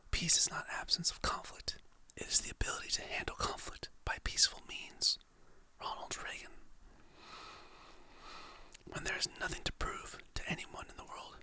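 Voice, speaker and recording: Soft whispering ASMR creator, bright and airy. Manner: soft whisper, slow and soothing, reads quotes then names the author. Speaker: content creator. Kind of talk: whispered ASMR motivational quotes and affirmations.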